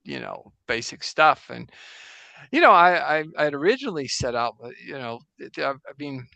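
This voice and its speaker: A man playing a 17th-century Jesuit astronomer priest in a casual improvised interview over a video call, halting and full of restarts. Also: fairly high pitch, big pitch swings, creaky, pushed and bright, no room sound, muffled recording, exaggerated voice. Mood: wry. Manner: halting, full of restarts and fillers, joking anachronisms. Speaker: guest in role-play.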